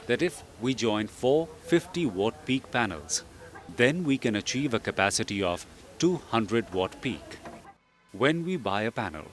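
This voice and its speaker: A man reading scripted instructional narration for a training film in a measured, didactic way. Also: mid-range voice, a bit bright, slight background noise. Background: background music.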